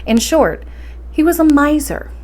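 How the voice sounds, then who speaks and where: A woman hosting a library story time, speaking and reading aloud in an expressive, encouraging way. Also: sits high, measured pace. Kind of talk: bedtime story reading and introduction.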